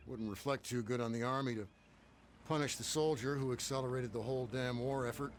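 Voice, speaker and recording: A man's voice: medium pitch, brisk, slight background noise, natural voice. A man playing a blunt, fatherly officer. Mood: reassuring. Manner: calm, matter-of-fact briefing tone. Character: seasoned male army officer, fatherly and blunt. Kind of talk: video game cutscene acting.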